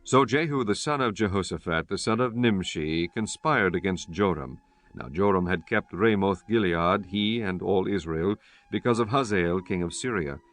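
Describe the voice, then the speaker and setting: A man narrating a dramatized scripture audiobook in a measured, solemn way. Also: deep voice, a bit bright. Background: music.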